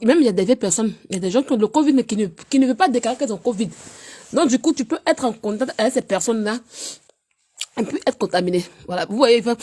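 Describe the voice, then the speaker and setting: A woman, chatting on a casual live stream while she has a cold, swinging between loud teasing and low murmurs. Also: slightly rough.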